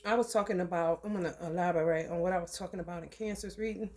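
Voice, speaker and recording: A woman's voice: fairly low pitch, quick pace. Casual woman with a scratchy throat. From American Midwest. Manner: loose and profane, with laughs and frequent throat clearing. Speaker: tarot reader. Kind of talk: casual spiritual reading to camera.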